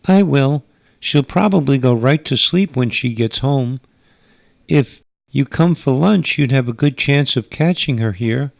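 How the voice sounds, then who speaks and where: A man's voice: mid-range voice, lively intonation, a little slow, vocal fry, dry recording, voice pushed up. A man voicing a courteous, worried alien mother who speaks formally and pleadingly in a radio sci-fi story. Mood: anxious, hopeful. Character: polite, worried alien mother with four arms.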